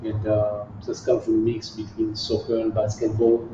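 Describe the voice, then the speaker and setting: A man's voice: lowish voice, completely flat delivery. A middle-aged man from southern France with a French accent, answering interview questions casually and stopping often to find English words. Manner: halting, searching for English words. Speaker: guest, kickboxing champion. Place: gym. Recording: echoey room, distant muffled mic, faint hiss.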